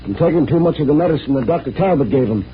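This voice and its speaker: A man's voice: a little high, animated, very fast, close to an everyday voice. Man acting a folksy, hesitant small-town sheriff, muffled sound. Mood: earnest, businesslike. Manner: drawling rustic speech, hesitant. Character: folksy small-town male sheriff, earnest, easily spooked. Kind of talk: old-time radio mystery acting. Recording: muffled old radio recording, faint noise.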